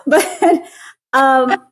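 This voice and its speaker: A woman's voice: high-pitched, wildly swooping pitch, very slow. Young-sounding woman, earnest and chatty. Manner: earnest, laughs often, restarts words. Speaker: guest, patent attorney. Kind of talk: casual interview conversation.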